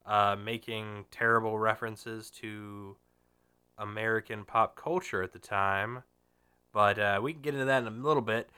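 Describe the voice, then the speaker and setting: Bright, crisp man with a stuffy cold. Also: on the low side. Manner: rambling, profane, frequent fillers and restarts. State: has a cold, slightly nasal and sniffly. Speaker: podcast host. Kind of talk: casual gaming podcast chat.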